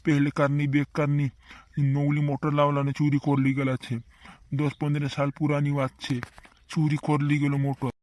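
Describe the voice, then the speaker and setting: Comedy cartoon villager, played by a man: insistent, repetitive, little pitch movement, rural western Madhya Pradesh accent, dry close recording. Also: monotone, moderate pace, darkish tone, no room sound, natural voice. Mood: grumbling.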